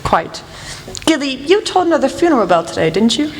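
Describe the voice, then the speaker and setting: A woman acting in an amateur radio play as a shrewd lady detective, speaking in a measured, frank and formal way. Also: sits high, sing-song. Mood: probing. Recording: echoey hall with faint noise.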